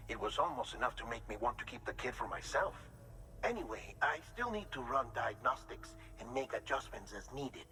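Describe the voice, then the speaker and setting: An actor playing a caring, precise technician in a video game, giving an expressive, formally worded radio briefing. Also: male voice, medium pitch, slight background noise, poor audio. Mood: tender wonder. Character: caring, precise male technician reporting with quiet wonder.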